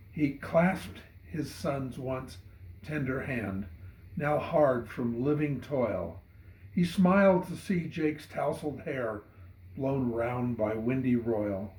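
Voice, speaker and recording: A man reading a narrative poem dramatically, in measured and solemn storytelling. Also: mid-range voice, dark tone. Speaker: poet reading own poem. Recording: roomy echo.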